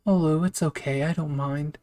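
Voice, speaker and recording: A man voicing a kind young woman soldier, gentle and concerned, in a story reading. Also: fairly high pitch, fairly even intonation, normal speed, close to an everyday voice.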